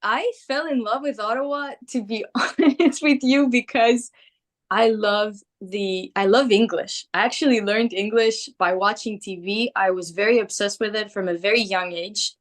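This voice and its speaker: A woman's voice: medium pitch, normal speed. A young woman, Greek by birth with Greek as her native language, chatting animatedly in an interview and laughing often. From Greece. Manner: animated, laughs often, quotes people in put-on voices. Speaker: musician guest. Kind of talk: casual interview chat.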